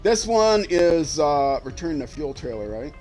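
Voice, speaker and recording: Older man, young-sounding, slightly high voice, rambling game-stream chatter, fillers, faint background music. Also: big pitch swings, measured pace, darkish tone. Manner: rambling, chatty, thinks aloud with many fillers. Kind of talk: casual livestream commentary while playing.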